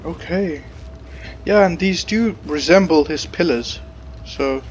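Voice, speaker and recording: Slow, casual male gamer, muffled sound. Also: on the high side, slight background noise. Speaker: gamer reacting to a playthrough. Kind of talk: casual gameplay commentary.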